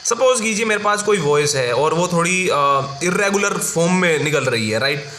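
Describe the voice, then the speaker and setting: A man's voice: fairly high pitch, animated, moderate pace, bright, forceful tone. A man with an Indian accent giving an informal explanatory lecture as a tutor, often checking in with short tag questions. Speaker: engineering tutor. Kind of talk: informal explanatory lecture mixing Hindi and English. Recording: echoey room with faint background noise.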